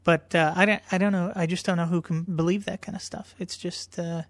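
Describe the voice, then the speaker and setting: A man's voice: high voice, flat delivery, a little fast. A man who is a guest on a podcast, talking casually and jokingly, with a light voice that people often take for a woman's. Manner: joking, self-mocking, repeats words. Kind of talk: casual interview chat. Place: indoors, in someone's home. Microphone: podcast microphone.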